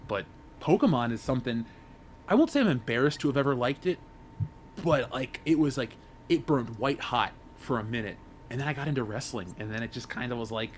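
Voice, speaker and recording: A man's voice: animated, a little fast. A man in his thirties chatting casually as a panelist. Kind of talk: casual chat. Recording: faint low rumbling background noise.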